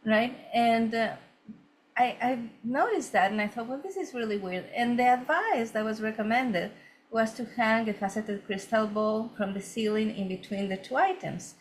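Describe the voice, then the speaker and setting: A woman's voice: on the high side, moderate pace, low-quality recording. A woman from Ecuador, a feng shui consultant, telling her story earnestly in a conversational interview with many fillers and restarts.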